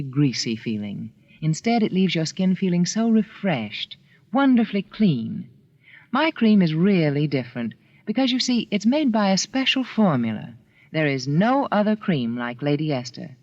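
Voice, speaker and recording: Poised female beauty authority: persuasive vintage radio pitch, lively melody, muffled lo-fi sound. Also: dry recording. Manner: deliberate, instructive, persuasive. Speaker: beauty authority and advertising spokeswoman. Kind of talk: 1940s radio commercial delivery. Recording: dull, dry vintage radio recording.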